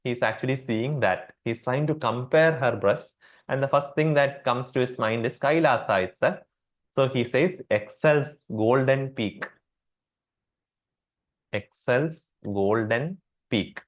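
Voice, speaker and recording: A man with an Indian accent teaching a class on Sanskrit verses, repeating each term slowly with many fillers. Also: medium pitch, lo-fi sound. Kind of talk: explanatory class lecture.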